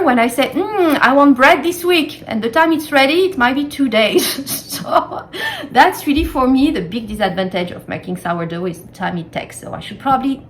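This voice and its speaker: A middle-aged woman with a French accent talks through a home kitchen vlog in a friendly, explanatory way, stressing points by saying them twice. Her voice sits a little high. A faint hum runs under the recording. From France. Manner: chatty and explanatory, emphatic repeats. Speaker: cooking vlogger.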